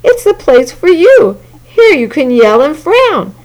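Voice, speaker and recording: A woman voicing an excitable male town mayor in a sing-song, booming way. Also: a little slow, low-quality recording. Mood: cheerful.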